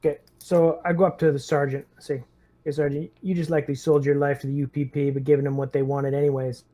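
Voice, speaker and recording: A man's voice: fairly high pitch, level tone, quick pace, dark tone, lightly acted. A man voicing a blunt, foul-mouthed Marine lieutenant rallying his squad in a tabletop roleplaying game. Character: gruff, rousing Marine lieutenant, loyal to his brothers in arms.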